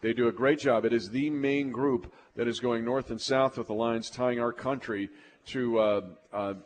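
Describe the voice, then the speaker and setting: A man's voice: mid-range voice, a little hiss or hum. American man hosting live sports talk. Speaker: sports show host.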